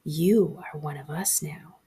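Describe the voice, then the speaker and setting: A woman voicing eerie shadow children in a soft, hoarse whisper for a horror storybook. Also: sits low, slow pace, full character voice. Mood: eerie, hushed.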